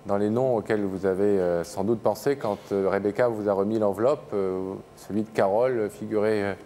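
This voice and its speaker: Soft-spoken male TV host, low voice. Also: fairly even intonation, normal speed, big-room sound, a little hiss or hum. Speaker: TV show host. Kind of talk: hesitant, gentle hosting with many fillers. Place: TV studio set.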